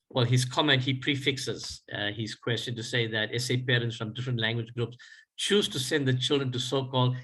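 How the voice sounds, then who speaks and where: A man with a mid-pitched voice moderates an online Q&A. He reads the audience's questions aloud at a measured pace, with short pauses and fillers. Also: fairly bright tone. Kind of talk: reading out questions.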